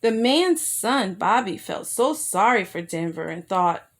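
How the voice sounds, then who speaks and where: A woman reading a children's picture book aloud as a storyteller, expressive and careful, with asides to her young listeners. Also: medium pitch, measured pace. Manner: addresses young listeners with simple questions.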